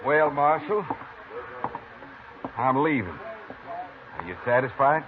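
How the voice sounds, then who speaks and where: An actor playing a callous, shifty scoundrel in a 1950s radio western, curt and insistent in manner. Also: male voice, mid-range voice, animated, slow. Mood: defiant. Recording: noisy, tinny 1950s radio recording.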